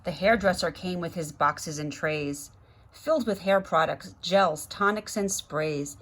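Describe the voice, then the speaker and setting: A woman's voice: on the low side, measured pace, a bit bright. A woman reading a rhyming children's story aloud expressively, with chatty asides to young listeners. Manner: rhythmic, dramatic, addressing children. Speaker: guest storybook reader.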